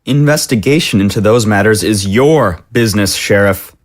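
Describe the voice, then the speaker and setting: A man's voice: fairly low pitch, moderate pace, lightly acted. A man playing a cautious, dismissive mayor in an audio drama, speaking in a formal, wordy and officious way. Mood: skeptical, measured. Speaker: voice actor. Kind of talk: scripted audio drama acting.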